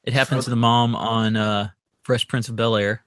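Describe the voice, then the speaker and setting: A man's voice: medium pitch, steady tone, moderate pace, a bit bright, clean tone. A man co-hosting a podcast, chatting casually. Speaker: podcast co-host. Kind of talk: casual chat.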